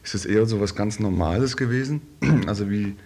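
A man interviewing in a conversational way, putting tentative questions with pauses. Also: on the low side, warm tone.

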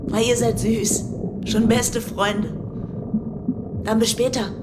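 An actress playing a seductive, coaxing ship's cook in a radio play, flirtatious and persuasive. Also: female voice, fairly high pitch, flat delivery, takes it slowly, heavy background noise. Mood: teasing.

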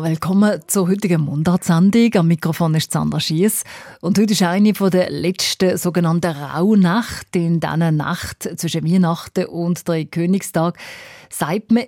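Mellow Swiss German woman hosting radio. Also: on the low side, dry recording, clean studio sound. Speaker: radio host. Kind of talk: radio presenting.

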